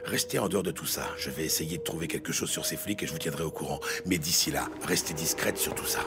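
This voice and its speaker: An actor playing a gruff, commanding police lieutenant in a French audio drama, curt and coarse in manner. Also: male voice, extremely low pitch, fast talker, loud music behind the voice. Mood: guarded, low.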